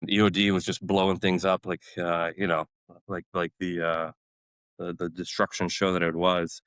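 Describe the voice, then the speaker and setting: A man's voice: low voice, level tone, normal speed, a bit bright, clear, resonant tone, no room sound, clean studio sound. A man in his forties whose first language is Polish, a podcast guest telling army stories casually and animatedly, laughing often and acting out other people. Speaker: podcast guest, retired Army warrant officer. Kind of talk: casual conversational storytelling.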